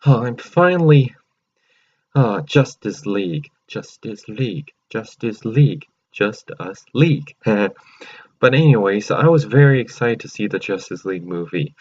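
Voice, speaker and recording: A man hosting his own channel, chatting excitedly and rambling through a casual movie review with many fillers and restarts. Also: fairly low pitch, unhurried, dull audio. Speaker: channel host.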